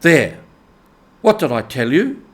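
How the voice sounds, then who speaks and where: A man voicing an excitable, bossy male rat in a storybook reading, exclaiming emphatically with impatience. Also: a little high, slow, pitched above the performer's own voice, exaggerated voice. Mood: triumphant. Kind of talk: animal character in storybook reading.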